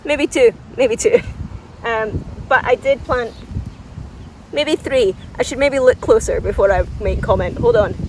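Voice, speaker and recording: A woman's voice: fairly high pitch, unhurried. A woman giving a casual, unscripted garden tour to viewers, enthusiastic and informal, thinking aloud with a self-deprecating streak. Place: outdoors in a flower garden. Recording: distant mic, outdoor background noise.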